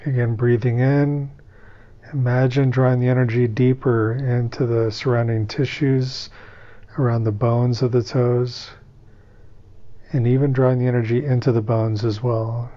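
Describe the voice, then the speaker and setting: A man leading a guided meditation as its teacher, speaking in a calm, unhurried, soothing way with long pauses between instructions. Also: medium pitch, lo-fi sound.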